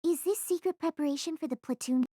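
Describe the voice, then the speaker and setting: A woman's voice: high voice, fast talker, dark tone, no echo, clean studio sound, lightly acted. A woman voicing a nosy, gossiping schoolgirl who pries at a classmate with teasing questions. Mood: teasing, prying.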